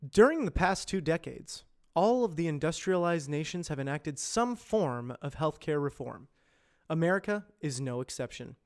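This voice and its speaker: A man with a fairly high-pitched voice narrates scripted informational material. He speaks in a measured presenter's manner, enunciating carefully as in an educational voice-over. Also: animated, clean tone. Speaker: amateur voice actor practising reads.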